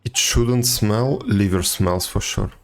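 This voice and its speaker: A man with a low voice talks casually to viewers while streaming a game. His delivery is halting, with repeated words, and faint music plays behind him. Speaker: video game livestreamer. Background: video game music.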